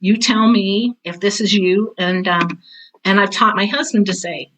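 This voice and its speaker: A woman's voice: mid-range voice, a little slow, fairly bright tone, slight background noise, rough recording. An older woman speaking casually as an interview guest, blunt and candid, with a self-deprecating streak. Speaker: podcast guest, author and drama teacher.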